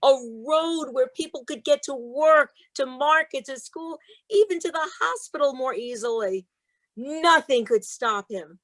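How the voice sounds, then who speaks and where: A woman with a fairly high voice speaks as a guest author. Her delivery is emphatic and rhythmic, in the expressive style of someone presenting and reading storybooks. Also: a little slow, poor audio. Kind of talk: interview talk and expressive storybook read-aloud.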